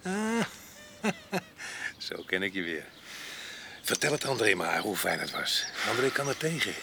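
An actor playing a rough, wild man with a past in a radio drama, mocking and blustering in a coarse way. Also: lowish voice, very animated, a little slow. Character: rough, wild, taunting man with a past, vagabond grape picker. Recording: noisy 1980s radio drama with outdoor ambience.

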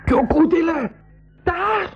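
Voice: extremely high pitch, lively intonation, slow, soft background music, heavily acted. A performer playing a plucky, earnest young boy in a 1980s school radio adventure. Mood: alarmed. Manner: exclamatory, earnest. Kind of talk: 1980s school radio drama acting in Dutch. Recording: slightly muffled 1980s radio recording.

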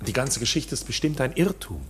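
An actor playing a polite, bewildered accused man in a German radio play, questioning in a formal manner with a husky voice. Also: mid-range voice, sing-song, fairly quick, a little hiss or hum, close to an everyday voice. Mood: nonchalant.

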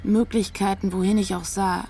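A woman's voice: mid-range voice, flat delivery, moderate pace, music in the background, natural voice. An actress playing a disillusioned young woman in a radio drama, speaking in a frank, matter-of-fact, naturalistic way. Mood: disillusioned, reflective. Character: disillusioned young woman from Leipzig, direct, searching.

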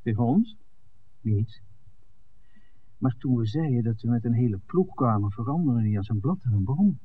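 An actor playing an eager, easygoing filmmaker with an eye for women in a 1960s Dutch radio drama. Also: male voice, mid-range voice, lively intonation, normal speed, husky. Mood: friendly, keen. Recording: muffled, narrow old radio recording.

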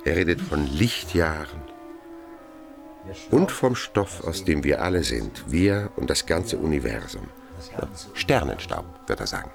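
Radio drama philosopher: musing, deliberate storyteller, deep, with lively swooping intonation. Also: male voice, gravelly, full character voice. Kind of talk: German radio drama acting. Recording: music behind the voice.

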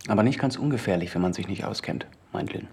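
An actor playing an evasive, uneasy but polite drifter in a naturalistic radio drama, husky and hesitant. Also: male voice, on the low side, quick pace, a little mellow. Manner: hesitant, noncommittal.

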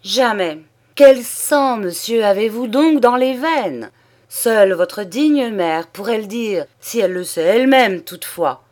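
A woman's voice: lowish voice, measured pace, close to an everyday voice. A haughty old nobleman , as a woman reader puts him on, who speaks with cutting irony and swings from cold scorn to outbursts. His storybook voice carries the weight of an imperious, easily provoked father. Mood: furious. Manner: haughty and ironic, flaring into shouts. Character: proud, hot-tempered old male aristocrat.

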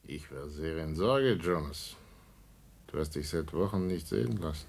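A man's voice: extremely low pitch, animated, normal speed, gravelly, breathy edge, exaggerated voice. A man playing a wry, indulgent older uncle in a German science fiction radio play, with dry irony. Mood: concerned, wry.

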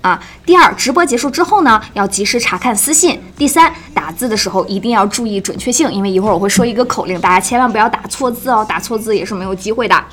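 A woman's voice: medium pitch, fast talker, hardly any pauses, a bit bright. A woman hosting a live online event in a chatty way, often repeating and rephrasing as she steers the talk along. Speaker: livestream host.

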